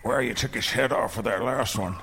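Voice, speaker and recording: A man acts a gullible, greedy adventurer in a medium male voice. He is casual and deadpan, in a comic radio play with slight noise behind him. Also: medium pitch, brisk, gravelly, lightly acted. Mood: mildly put out. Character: easygoing, gullible, greedy adventurer leading the party. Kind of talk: comedic audio drama acting.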